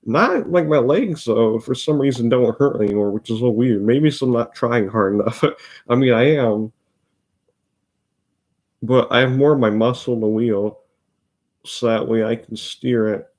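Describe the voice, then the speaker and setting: A man's voice: mid-range voice, normal speed, darkish tone. A fourteen-year-old boy with a Midwestern accent, casually rambling about racing while showing replays. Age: teens. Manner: rambling, self-interrupting, chatty. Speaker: racing video host. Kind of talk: casual commentary over race replays.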